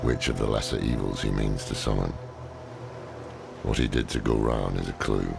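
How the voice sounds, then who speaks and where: An actor playing a weary, grave scholar and mentor in a video game, with a gravelly, deliberate delivery over loud music. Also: male voice, fairly even intonation, full character voice. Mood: grave, dry. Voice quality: very deep, gravelly. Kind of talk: video game cutscene acting.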